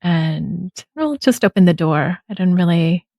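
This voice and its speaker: A woman hosting an interview podcast, speaking gently and affirming her guest with soft agreement. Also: on the low side, expressive, normal speed, vocal fry, no echo, clean studio sound. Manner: gentle, affirming, lots of mm-hmms. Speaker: podcast host. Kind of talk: casual interview chat.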